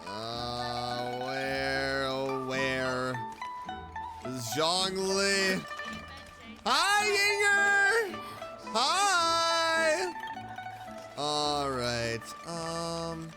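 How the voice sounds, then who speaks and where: Chatty girl streamer playing a game, voice goes up and down a lot, unhurried, game music behind. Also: on the low side, big pitch swings. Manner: playful, chatty, reacts aloud to characters. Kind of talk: casual let's play commentary.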